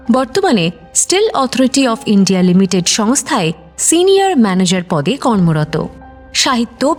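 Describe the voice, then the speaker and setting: High-pitched, expressive woman radio presenter. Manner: formal, deliberate. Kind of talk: scripted announcement and story introduction. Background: light music.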